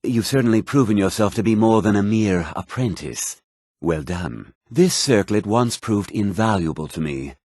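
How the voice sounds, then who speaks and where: An actor voicing a haughty, formal middle-aged mage and official in a fantasy video game, speaking precisely and with condescending politeness. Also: male voice, on the low side, lively intonation, moderate pace, a little mellow, breathy edge, dry recording, studio quality. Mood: measured, approving.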